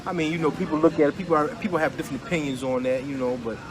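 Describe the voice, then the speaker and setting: A man's voice: on the high side, rapid-fire, crowd noise. Easygoing man hosting a casual chat. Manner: laid-back, joking, agreeable. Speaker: interview host.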